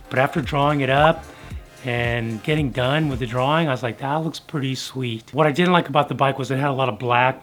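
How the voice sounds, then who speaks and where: Middle-aged American male host, slightly hoarse, casual and colloquial how-to delivery, music underneath. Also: medium pitch. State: slightly hoarse from being sick. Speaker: host of a motorcycle build series. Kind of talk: casual how-to narration to viewers. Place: home garage workshop.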